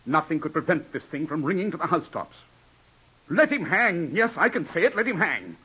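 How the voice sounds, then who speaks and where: An actor playing a proud, ruthless gentleman in an imperious, scornful, theatrical manner for a 1940s radio drama. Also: fairly high pitch, very animated, brisk, slightly rough, exaggerated voice. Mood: defiant. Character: proud, ruthless gentleman clinging to respectability and power. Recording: dull old 1940s radio broadcast.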